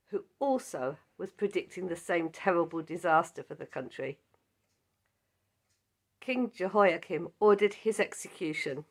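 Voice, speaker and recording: Slow-speaking croaky-voiced woman teaching Bible study. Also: mid-range voice, fairly bright tone. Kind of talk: scripted Bible lesson.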